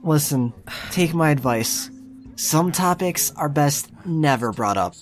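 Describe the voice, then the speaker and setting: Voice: medium pitch, animated, takes it slowly. A performer playing a harried young male clerk in an audio drama, courteous, deferential and a little wry. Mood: polite, wry. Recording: music behind the voice.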